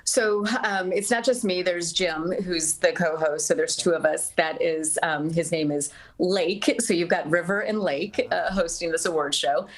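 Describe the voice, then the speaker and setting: A woman's voice: on the low side, a little slow, few pauses. A woman answering as an interview guest in a casual, conversational style. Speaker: interview guest, radio broadcaster and actor.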